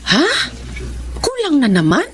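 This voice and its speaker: Defensive Filipino widowed mother for radio drama: lively swooping delivery, faint background noise. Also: sits high, unhurried, exaggerated voice. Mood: frustrated. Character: defensive, love-struck widowed mother, torn and pleading.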